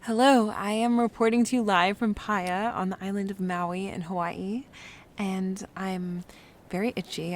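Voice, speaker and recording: A woman's voice: medium pitch, slight background noise, natural voice. An actress in her twenties playing an anxious, candid young woman recording a rambling, self-deprecating audio diary in an audio drama. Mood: subdued, candid. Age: 20s. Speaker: lead actor in an audio drama. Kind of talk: confessional audio diary monologue.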